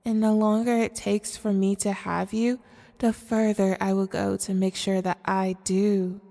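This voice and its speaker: A woman playing a manipulative, seductive female demon in an ASMR audio roleplay, speaking formally and deliberately in a coaxing way. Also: medium pitch, little pitch movement, gravelly, mellow. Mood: seductive, possessive. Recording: long cavernous reverb.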